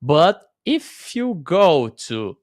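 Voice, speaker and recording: A man's voice: on the high side, very animated, extremely slow, no room sound, studio quality. A man hosting a casual interview chat, talking in an enthusiastic, question-asking way. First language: Portuguese. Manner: chatty, enthusiastic, asks questions. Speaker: host.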